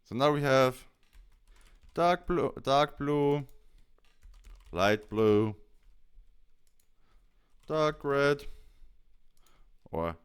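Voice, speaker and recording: A man presenting a software tutorial, explaining each step as he goes. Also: medium pitch, very slow, long pauses, clean tone.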